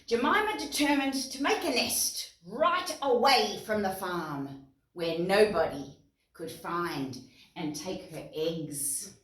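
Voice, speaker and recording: Slow, echoey Australian woman reading to children. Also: mid-range voice. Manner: expressive, asks listeners questions and explains words simply. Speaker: children's storytime presenter. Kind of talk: animated read-aloud storytelling for young children, with songs. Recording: roomy echo, distant mic, guitar music.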